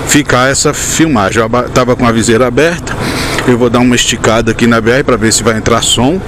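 A man's voice: mid-range voice, noisy background. A middle-aged Brazilian man chatting casually as a motovlogger, recorded on a lapel mic while riding a motorcycle. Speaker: motovlogger testing a new camera. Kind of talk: casual vlog chat. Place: outdoors, riding a motorcycle on town roads. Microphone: lapel mic while wearing a helmet.

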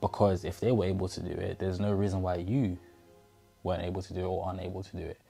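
Young man with a deep, mellow voice. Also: flat delivery, fast, gravelly, faint music behind. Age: 20s. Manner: earnest, repetitive, talks straight to the viewer. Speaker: motivational vlogger. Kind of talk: casual motivational talk to camera. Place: bedroom indoors. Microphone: clip-on lapel mic.

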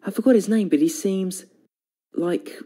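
A man voicing a friendly, easygoing steam engine companion in an animated game, casual and a little hesitant. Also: high voice, moderate pace, slightly rough. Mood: friendly, puzzled. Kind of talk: fan animated game cutscene acting.

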